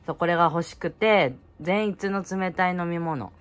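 A woman's voice: lowish voice, moderate pace. A woman co-hosting a family channel, casually chatting while unboxing anime goods and recounting each item.

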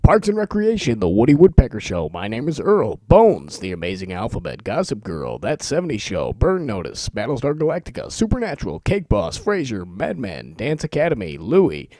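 An amateur male voice talent with a mellow tone and animated pitch. He sounds informal and amused with himself, as if fooling around on a homemade demo. The recording is close and dry. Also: moderate pace, hardly any pauses, dry recording. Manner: joking, self-amused, informal. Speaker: amateur making a voice-over demo. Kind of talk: casual chat and rapid-fire list reading.

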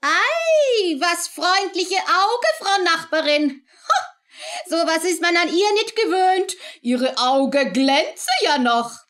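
Radio play: high-pitched, prim, spiteful female neighbour, mocking delivery. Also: lively intonation, slow, a bit bright, full character voice. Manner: mocking, indignant.

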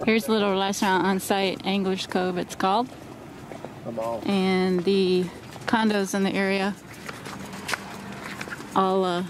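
Chatty woman vlogging outdoors at a marina. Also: medium pitch. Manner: chatty, upbeat tour-guide talk. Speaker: vlog host interviewing crew. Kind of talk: casual vlog narration and tour. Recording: outdoor noise in background.

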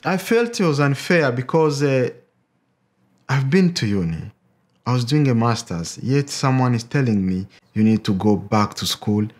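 A man's voice: mid-range voice, lively intonation, moderate pace, a little mellow. A man in his forties giving a frank, earnest interview answer in a room, with small hesitations.

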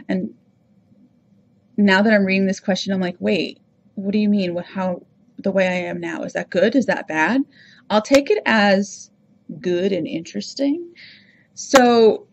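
A woman's voice: mid-range voice, unhurried, highs cut off, a little hiss or hum. A woman from Rhode Island with no regional accent, hosting a podcast and talking casually about herself with a chatty, self-reflective manner. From Rhode Island, USA. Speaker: podcast host. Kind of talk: casual personal monologue.

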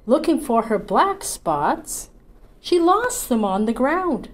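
A woman's voice: a little high, big pitch swings, slow pace, reverberant, slight background noise. A middle-aged woman with a Newfoundland accent, a children's librarian leading a storytime at home with a cheerful, sing-song, encouraging manner. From Canada. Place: small indoor room, seated in an armchair. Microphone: fixed camera at a few feet.

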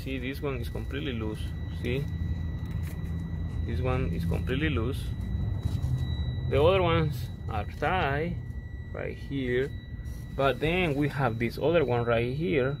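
A man's voice: mid-range voice, unhurried, long pauses, noisy background. A man, a mechanic, casually walking through a truck's fault codes and battery check, pointing things out step by step, with frequent fillers and restarts in his English. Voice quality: non-native English with filler-heavy restarts. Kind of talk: casual how-to walkthrough. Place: truck cab and battery compartment.

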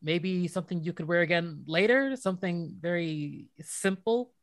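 A woman with an American accent playing a gentle, anxious young husband in a modern radio play, casual and conciliatory. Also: on the low side, moderate pace, no echo, studio quality, lightly acted. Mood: concerned.

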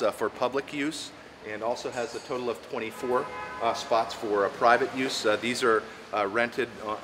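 A middle-aged man who runs a parking garage, speaking in an on-camera interview with many "uh" fillers, recorded inside a parking garage. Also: mid-range voice. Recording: noisy garage ambience.

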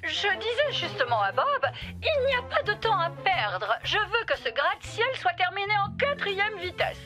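A woman's voice: extremely high pitch, big pitch swings, normal speed, sharp tone, soft background music, full character voice. A woman playing an impatient, bossy female mayor in a French cartoon dub. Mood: bossy, hurried.

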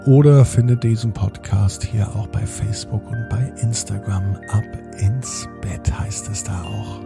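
A man's voice: on the low side, creaky, loud music behind the voice. A man hosting a children's podcast and narrating a bedtime story, speaking directly to young listeners. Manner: addresses young listeners directly, drawn-out repeated words.

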